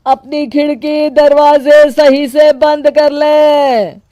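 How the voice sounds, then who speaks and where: A village announcer in a cartoon, a man as put on by a woman, giving a public warning. The voice is high and the words come slowly. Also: flat delivery, dark tone, slight background noise. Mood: urgent.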